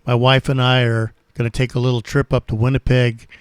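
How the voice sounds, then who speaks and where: A man's voice: mid-range voice, little pitch movement, fairly quick, smooth, no echo. A man in his sixties with an American accent, chatting casually as an amateur radio operator. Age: 60s. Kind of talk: casual ham radio chat.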